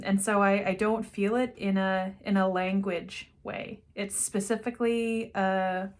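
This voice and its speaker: A woman talks through an intuitive reading in an unscripted, musing way, her thoughts wandering and her voice sinking into quiet asides. She speaks very slowly, in a mid-range voice that is neither high nor low. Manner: slow, meandering, trailing off into quiet asides. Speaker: intuitive card reader. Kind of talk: unscripted spiritual reading to camera.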